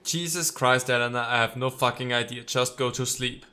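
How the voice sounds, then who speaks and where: A man's voice: mid-range voice, fairly even intonation, moderate pace, bright, forceful tone. A man doing let's play commentary, reading game text aloud and reacting with sarcasm and exasperated swearing. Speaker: let's player.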